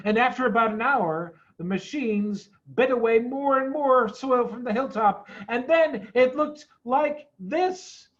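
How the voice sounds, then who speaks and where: A man's voice: extremely high pitch, a little slow, hardly any pauses, muffled recording. A man reading a children's story aloud in a theatrical, emphatic manner. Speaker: storybook reader, school head.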